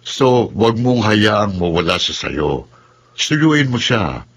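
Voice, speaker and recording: An actor playing a gentle, wise middle-aged father in a Tagalog radio drama, slipping English phrases into his Tagalog. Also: fairly low pitch, sing-song, slow pace, husky, heavily acted. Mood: gentle, persuasive.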